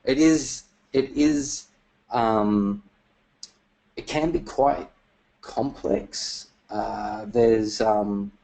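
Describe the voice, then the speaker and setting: A man presenting software features in a webinar, talking casually with many fillers, his voice getting worn and losing strength. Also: fairly low pitch, extremely slow, rough recording. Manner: casual, many fillers and restarts, throat clearing.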